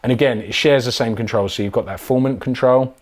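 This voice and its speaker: A man's voice: mid-range voice, quick pace, over loud music. A man presenting a casual, chatty product demonstration in an informal way.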